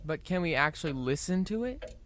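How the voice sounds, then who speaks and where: Earnest, inquisitive schoolboy, somewhat high-pitched, casual delivery, noticeable background noise. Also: male voice, sing-song, fairly quick, fairly bright tone. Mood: curious, surprised. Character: polite, curious homeschooled schoolboy researching a report. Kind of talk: family audio drama acting.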